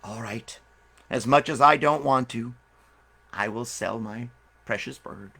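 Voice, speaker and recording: A crafty storybook trader who puts on great reluctance to sell. A man voices him while narrating. The voice is bright and a touch high. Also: expressive, fairly quick, creaky, heavily acted. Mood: coy. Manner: protesting reluctance. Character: sly male bird seller, clinging coyly.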